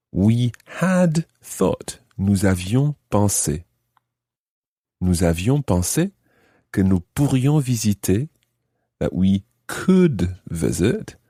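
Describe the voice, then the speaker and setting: Deep, slow, mellow male language teacher. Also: husky, no echo, clean studio sound. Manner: didactic, careful enunciation. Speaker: language teacher, podcast host. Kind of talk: explanatory lesson, translating phrase by phrase.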